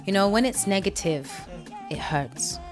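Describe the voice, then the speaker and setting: A young woman from London with a London accent, a singer telling stories in a casual interview, chatty and quick to laugh. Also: mid-range voice, animated, over music. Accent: London English. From London, England. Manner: chatty storyteller, quick to laugh, talks through restarts.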